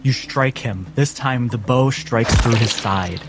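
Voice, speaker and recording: A man running a tabletop game as game master, narrating dramatically in deliberate, theatrical phrases over background music. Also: medium pitch. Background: cinematic background music.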